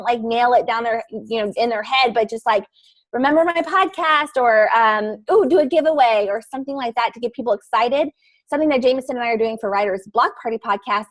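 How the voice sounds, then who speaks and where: Bubbly podcaster woman, high-ish voice, quick laughs, chatty run-ons. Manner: bubbly, laughs often, fast run-on sentences. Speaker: podcaster guest. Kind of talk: casual panel chat.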